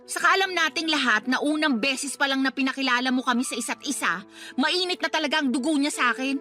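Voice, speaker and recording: A woman's voice: sits high, fast, pushed and bright, soft background music. A woman acting a feisty, touchy, sarcastic young girlfriend in a radio love-story drama. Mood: angry, defensive. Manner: sulky, sarcastic, combative.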